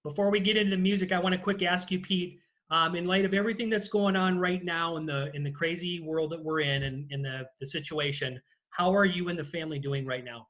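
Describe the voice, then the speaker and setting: Higher-voiced male interviewer. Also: fairly even intonation, brisk, a bit bright. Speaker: interview host. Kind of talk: casual interview questioning. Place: video call. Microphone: video call microphone.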